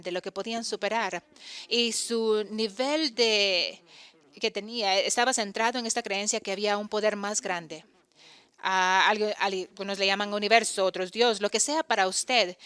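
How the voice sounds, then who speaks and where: A woman giving a voice-over interpretation of a conference talk, halting often to correct and restart her phrases. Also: medium pitch, fairly bright tone, clean tone.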